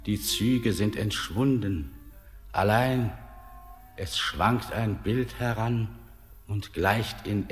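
Torbern, a slow, sardonic old tempter. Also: male voice, on the low side. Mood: brooding. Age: older. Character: ancient eerie male miner, mocking tempter. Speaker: old mountain spirit-miner Torbern. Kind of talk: classic radio play acting. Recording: long reverb with music behind.

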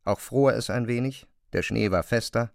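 A man's voice: mid-range voice, normal speed, vocal fry, no echo, clean studio sound. A man narrating a classic novel as scripted literary prose.

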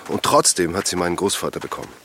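A middle-aged man acting a calm father of two in an audio drama, giving short, dry answers. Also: low voice, quick pace, a little hiss or hum. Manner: calm, dry, brief replies. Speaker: local villager.

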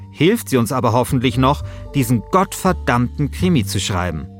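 Casual male podcast host, music underneath. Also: mid-range voice. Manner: colloquial. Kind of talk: casual chat and first-person podcast narration.

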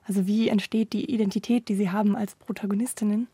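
Female radio interviewer, mellow, slightly husky. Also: mid-range voice, fairly even intonation, fast, no room sound. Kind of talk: prepared radio moderation and questions.

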